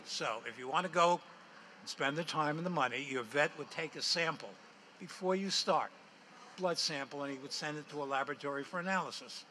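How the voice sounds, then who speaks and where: A man's voice: a little high, a little fast, bright, forceful tone, a little hiss or hum. Older man explaining at a lectern mic. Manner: technical, matter-of-fact explaining. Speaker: entrepreneur pitching to a panel. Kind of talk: answering panel questions, explanatory. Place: large hall with stage, indoors.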